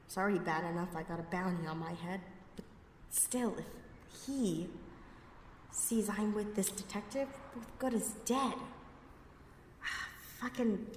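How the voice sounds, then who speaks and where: A woman's voice: lowish voice, a bit bright, slight background noise. A woman playing a cocky, wisecracking outlaw thief with a sassy, sarcastic, foul-mouthed delivery in an ASMR roleplay audio drama. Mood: reluctant, wary.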